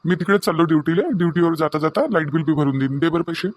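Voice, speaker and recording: A dutiful grown son in a cartoon played by a man speaks quickly with a Varhadi Marathi accent. His voice is slightly high, matter-of-fact and reassuring. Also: natural voice. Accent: Varhadi Marathi (Vidarbha). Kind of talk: comedic cartoon dialogue.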